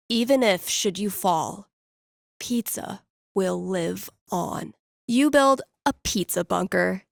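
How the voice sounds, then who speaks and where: A woman's voice: mid-range voice, vocal fry, no room sound, clean studio sound. A woman playing a laid-back, playful young radio DJ in a video game, with wry, slangy, mock-dramatic call-in patter. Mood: mock-solemn. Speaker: call-in radio show host.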